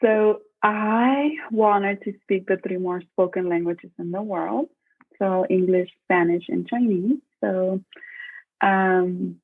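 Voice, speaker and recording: A woman, chatting casually as a podcast guest, relaxed and rambling with frequent fillers. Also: on the low side. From Mexico. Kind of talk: casual interview chat. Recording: tinny phone-like remote call line.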